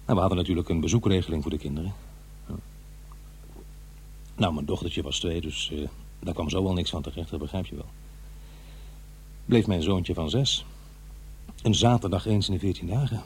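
An actor playing an uneasy, defensive middle-aged divorced father in a radio drama, confiding in a halting, conversational way. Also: low voice, expressive, breathy edge, heavily acted. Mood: agitated, rueful. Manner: halting, hesitant, self-interrupting. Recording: faint noise and hum.

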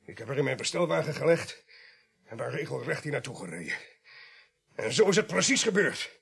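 An actor playing a curt, defensive, weary van driver in a radio play, with a husky, creaky voice. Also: male voice, mid-range voice, big pitch swings, brisk, a bit bright, full character voice. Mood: recounting, earnest. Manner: terse, guarded.

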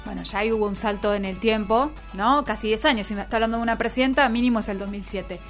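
A woman's voice: medium pitch, fast, soft background music. A woman with an Argentine accent hosting a radio show, chatting casually about politics. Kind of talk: casual political commentary chat.

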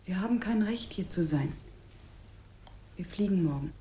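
An actress playing a tender, wistful woman agent in love, with a soft, hushed voice, in a German radio play. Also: on the low side, expressive, normal speed, a little hiss or hum, close to an everyday voice. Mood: subdued sorrow.

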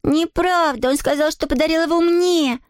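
A woman voicing a stubborn, furious little girl in a storybook, shouting indignantly. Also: high voice, normal speed, dry recording, studio quality, pitched above the performer's own voice, natural voice.

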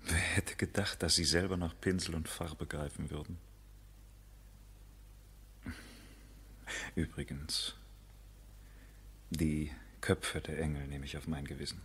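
An actor playing a courteous, earnest, quietly lovestruck architect with a breathy, hushed voice and formal, tender delivery in a 1970s radio drama. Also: male voice, a bass voice, fairly even intonation, normal speed, a little hiss or hum.

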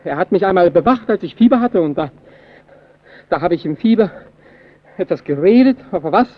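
A dull, narrow old radio recording carries a nervous man on watch duty. His high voice swings widely as he halts and repeats himself, cowed yet rebellious, in old-fashioned radio drama style. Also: expressive. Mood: evasive. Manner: agitated, halting, repeating himself. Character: nervous, rebellious lighthouse keeper long cowed by his domineering colleague.

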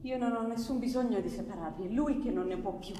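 A woman's voice: fairly high pitch, quick pace, natural voice. An actress playing a proud, firm woman who stands her ground in a stage drama, pointed and confrontational. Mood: grave. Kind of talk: live stage theatre acting. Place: theatre stage, recorded from afar. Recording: distant, echoey theatre recording with background noise.

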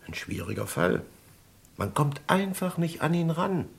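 A man's voice: on the high side, big pitch swings, measured pace, gravelly, a little hiss or hum, exaggerated voice. A man playing a cool, matter-of-fact hired agent in a German radio crime drama, speaking in a dry, laconic, slangy way.

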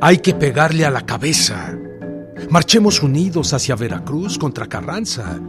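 Radio drama general, formal, insistent dissenter, very animated, music behind. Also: male voice, on the high side, moderate pace. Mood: insistent, respectful. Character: respectful but insistent dissenting general, military strategist.

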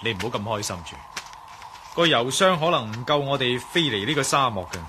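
A man's voice: mid-range voice, lively intonation, fairly quick, background noise, lightly acted. An actor with a Hong Kong Cantonese accent playing a cool, teasing male adventurer flying a plane in a radio drama.

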